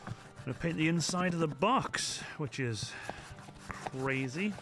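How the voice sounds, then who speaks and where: Bloke streaming his prop build, bright voice, swears loads, bit of music in the background. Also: fairly high pitch, lively intonation, a little slow. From Wales. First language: Welsh. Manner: chatty, sweary, reads chat aloud. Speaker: cosplay streamer. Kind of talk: casual live-stream chat while crafting. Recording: faint music behind.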